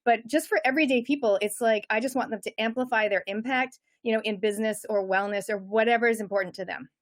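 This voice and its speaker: A woman's voice: on the high side, fast. A middle-aged woman with a Canadian accent, a coach talking energetically and chattily as a guest in an interview. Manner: energetic, chatty, many fillers. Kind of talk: casual interview chat.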